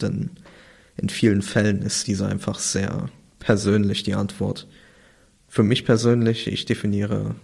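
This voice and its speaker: Gravelly-voiced nineteen-year-old man moderating. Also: lowish voice, darkish tone. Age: teens. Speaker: podcast moderator. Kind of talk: casual round-table chat.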